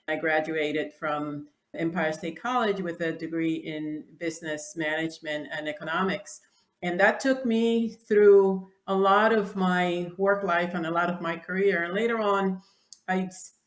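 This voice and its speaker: Woman, 60s, from the Dominican Republic, native Spanish speaker; slightly low voice, reflective interview guest in a roomy space. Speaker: guest, clothing designer. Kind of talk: interview answers. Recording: echoey room.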